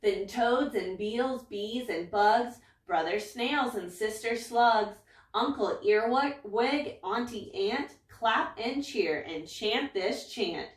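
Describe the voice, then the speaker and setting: A woman reads a children's rhyming story aloud with dramatic emphasis. Her voice is fairly high and takes its time, recorded in a roomy space a bit back from the mic. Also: slow, recorded from a distance. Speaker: story reader. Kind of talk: expressive read-aloud of a rhyming picture book. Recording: echoey room, away from mic.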